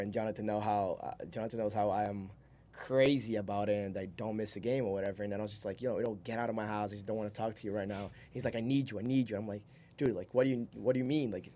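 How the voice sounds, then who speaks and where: A man's voice: lowish voice, steady tone, quick pace, dark tone. A man, telling his story casually and informally as an interview guest, in a rambling, easygoing way. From Brazil. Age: 20s. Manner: informal, rambling, chuckles while talking. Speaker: podcast guest, solar salesman.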